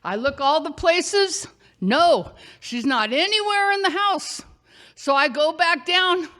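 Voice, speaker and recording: A woman with a loud, projecting voice telling a personal story on a theater stage, animated and conversational. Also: very high-pitched, takes it slowly. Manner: animated, conversational, acts out shouting and quotes.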